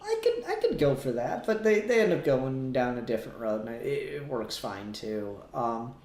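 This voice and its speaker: A man's voice: mid-range voice, very animated, normal speed, a little mellow. A man casually discussing a review as a podcast co-host, rambling and thinking aloud as he goes. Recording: roomy echo, clear background noise.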